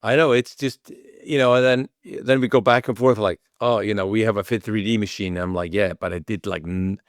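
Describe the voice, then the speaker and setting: A man's voice: on the low side, fairly even intonation, brisk, no room sound, clean studio sound. A man from Iceland with an Icelandic accent, answering interview questions in a casual, rambling way and often voicing quoted remarks. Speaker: interview guest, chiropractic clinic owner.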